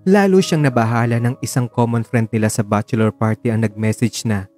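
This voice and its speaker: A Filipino man narrates a radio drama from a script. His voice is mellow and dark at an average pitch, with clear music playing behind it. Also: brisk.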